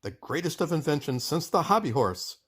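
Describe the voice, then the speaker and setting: An eager, easily flustered male inventor from a children's storybook, acted out by a man reading aloud. His pitch jumps about in a lively way. He hesitates and mutters, then bursts out with excitement. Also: brisk, slightly rough, exaggerated voice. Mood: excited.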